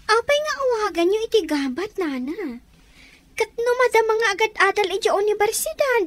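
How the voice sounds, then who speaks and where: Very high-pitched, worried young woman. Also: lively intonation, normal speed, no room sound. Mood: earnest, explaining. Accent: Ilocano. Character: worried, respectful young woman, loyal friend. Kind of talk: Ilocano radio drama acting.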